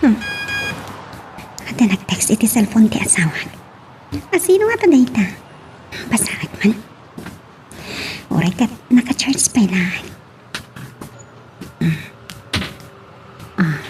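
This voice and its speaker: Jealous young Ilocano wife female actor: high, swooping voice, suspicious and combative, radio melodrama, slightly distant mic. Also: big pitch swings, a little slow, long pauses, a little mellow, soft background music. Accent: Ilocano Filipino. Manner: emphatic, confrontational. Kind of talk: melodramatic audio drama acting. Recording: distant mic with faint noise.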